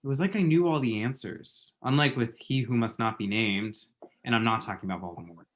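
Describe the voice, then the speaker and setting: A man performs a lovestruck, self-deprecating young man in a romantic comedy script read. The voice is mid-pitched, with a wry, hesitant delivery. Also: fairly quick, a bit bright, lightly acted. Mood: musing. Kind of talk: screenplay table read.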